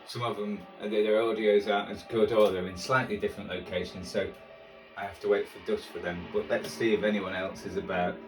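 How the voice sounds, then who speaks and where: A low-pitched man talks casually and a little self-deprecatingly as he tunes a radio for a hobby video. He rambles along in a roomy kitchen, some way from the microphone, with music clearly audible behind him. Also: little pitch movement, fairly quick, distant mic. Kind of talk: casual chatty commentary while tuning a radio. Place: indoors in a kitchen. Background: music playing from a shortwave radio.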